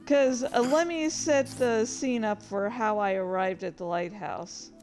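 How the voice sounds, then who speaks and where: A woman's voice: on the high side, measured pace, a little mellow, faint music behind. A woman telling gaming stories casually as a host, in a rambling, informal, sweary way. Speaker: host of a reading and gaming channel. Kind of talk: casual storytelling about gaming.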